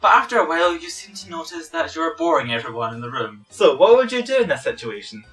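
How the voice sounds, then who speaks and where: A performer plays a proper royal butler with a sardonic, morbid edge. The voice is bright and very animated in pitch, formal in its wording. It sits a bit away from the mic, over faint music. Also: fast, recorded from a distance. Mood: dry, formal. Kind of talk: improvised in-character question-and-answer skit.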